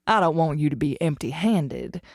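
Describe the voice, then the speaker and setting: A woman's voice: deep voice, lively intonation, normal speed, no echo, clean studio sound. A woman playing a breezy yet commanding female gang leader in a western audio drama. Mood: soothing.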